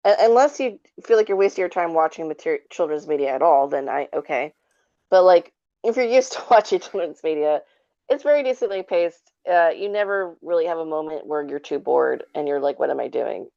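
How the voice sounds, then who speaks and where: A woman's voice: deep voice, expressive, a little fast, creaky, warm tone, highs cut off. A woman chatting casually as a guest on a podcast.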